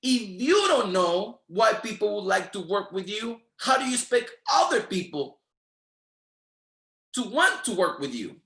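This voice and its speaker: A man's voice: sits high, sing-song, measured pace, vocal fry, fairly bright tone, echoey room. A man whose first language is Spanish, speaking with a Spanish accent and giving a motivational training talk in an emphatic, repetitive, preacher-like rhythm. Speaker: network marketing trainer.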